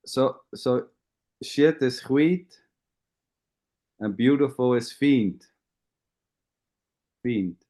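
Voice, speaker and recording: A man speaks in a slow, deliberate way during a relaxed group conversation. He takes his time over each phrase, at an ordinary middle pitch. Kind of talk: casual group video chat.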